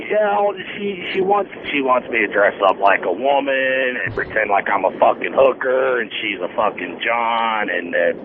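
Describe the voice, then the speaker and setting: A man phoning in to a talk show, telling a rambling, profane story in a casual, long-winded way. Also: lowish voice. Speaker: phone-in caller. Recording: noisy, tinny phone line.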